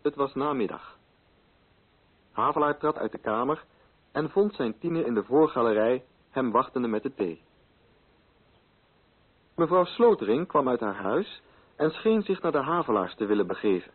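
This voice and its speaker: Husky male radio drama narrator. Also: mid-range voice, expressive, normal speed, long pauses. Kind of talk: scripted literary narration. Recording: thin, phone-like old radio recording.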